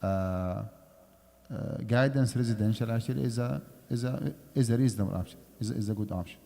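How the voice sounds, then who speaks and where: A man's voice: mid-range voice, a little fast, gravelly, warm tone. A man giving a conversational lecture on Islamic finance in a mosque, explaining step by step and checking in with his listeners. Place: mosque prayer hall. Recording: long hall reverb.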